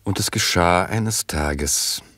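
A man narrating a scripted radio play in a measured, solemn storytelling manner with a wry edge. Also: deep voice, fairly bright tone, no echo, heavily acted.

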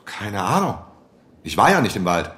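A man's voice: lowish voice, sing-song, moderate pace, fairly bright tone. An actor playing a defensive young academic man in a radio drama, clipped and evasive. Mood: evasive, irritated.

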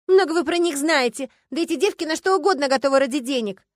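Casting: female narrator as greedy wealthy woman, high-pitched, loud, indignant and demanding, audiobook character. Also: very animated, normal speed, dry recording. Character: shrill, greedy, hysterical wealthy woman heiress.

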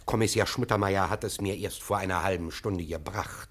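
A man's voice: low-pitched, moderate pace, husky. A man acting in a German radio play as a servile, zealous police official, deferential, officious and prone to stammering. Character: servile, zealous male police assessor, eager to please. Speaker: actor.

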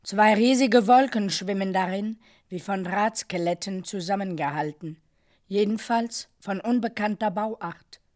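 An actress playing a solemn, insistent reciter in an avant-garde radio drama, speaking in a declamatory, deliberate way. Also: female voice, mid-range voice, darkish tone, natural voice. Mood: solemn, descriptive. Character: solemn, insistent woman reciting an experimental text.

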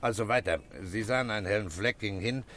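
An actor playing a calm police commissioner in a German radio crime drama, asking short, matter-of-fact questions. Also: male voice, lowish voice, fast talker, lightly acted. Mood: calm, businesslike. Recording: faint background noise.

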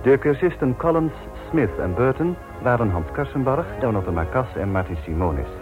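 A man's voice: medium pitch, expressive, moderate pace, phone-quality sound, music in the background. A man reading scripted credits as the announcer of a radio play.

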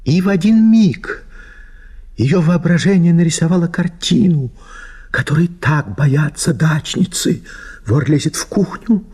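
A man reading a literary short story as an expressive, theatrical narrator. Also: a little high, a little slow, husky. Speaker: narrator of an audio play.

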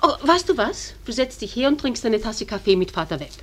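A woman's voice: fairly high pitch, sing-song, brisk, fairly bright tone, a little hiss or hum. An actress playing a bustling, kindly but firm middle-aged mother in a radio play. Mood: brisk, motherly. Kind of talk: German radio play acting.

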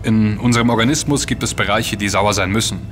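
An actor playing a serious, inquisitive, well-read investigator in an audio drama, speaking articulately. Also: male voice, fairly low pitch, steady tone, quick pace, a little hiss or hum, natural voice. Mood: serious, explanatory. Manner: articulate, explanatory. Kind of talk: German audio drama dialogue.

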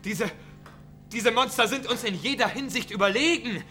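An actor playing a commanding, grave spaceship chief in a science-fiction radio drama, with urgent, authoritative delivery. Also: male voice, extremely high pitch, moderate pace, bright, forceful tone, soft background music. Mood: desperate, furious. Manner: authoritative, clipped orders, urgent.

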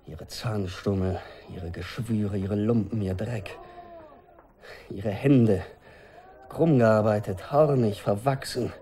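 A man's voice: on the low side, takes it slowly, mellow. A man acting an earnest, intense undercover timber dealer in a German radio drama, with precise, articulate delivery. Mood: brooding, frustrated.

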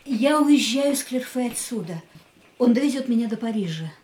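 An actress plays a woman torn yet determined to leave the man who loves her. Her high voice is earnest and pleading, in the dramatic manner of a radio play. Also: lively intonation, moderate pace, darkish tone, lightly acted. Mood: defensive. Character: anguished, resolute woman leaving the man she loves. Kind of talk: Russian radio play acting.

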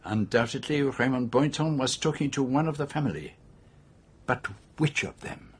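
Unbending detective, radio drama, formal, probing, deliberate, a little rough-edged. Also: male voice, medium pitch, animated. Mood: calm, insistent. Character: shrewd, unbending male detective questioning suspects.

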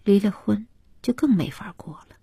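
A woman's voice: medium pitch, expressive, normal speed, creaky, dark tone, slightly rough, no room sound, pitched above the performer's own voice, exaggerated voice. A woman voicing a plain-spoken rural mother in an audiobook, subdued, frank and resolute.

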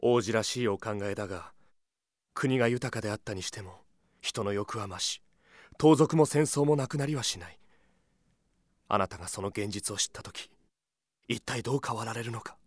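An actor playing a cool, grave, sceptical realist in an audio drama, with measured delivery. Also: male voice, lowish voice, no echo, studio quality, natural voice. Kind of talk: anime-style audio drama acting.

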